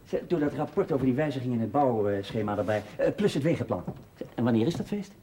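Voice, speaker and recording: An actor playing a brisk, curt mining boss in a naturalistic 1970s television drama. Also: male voice, medium pitch, expressive, mellow, slight background noise, close to an everyday voice. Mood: brisk, businesslike.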